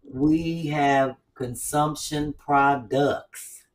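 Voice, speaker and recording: Woman, casual chat host, animated, blunt, profane, set back from the mic. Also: sits low, drawn-out words, recorded from a distance, low-quality recording.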